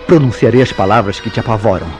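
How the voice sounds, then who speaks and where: An actor playing a jealous, tormented lover in a radio soap opera, accusatory and declamatory, with formal diction and a Brazilian accent. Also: male voice, lowish voice, quick pace, darkish tone, close to an everyday voice. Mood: pleading, insistent. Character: jealous, tormented male lover interrogating his beloved. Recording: radio drama with prominent background music.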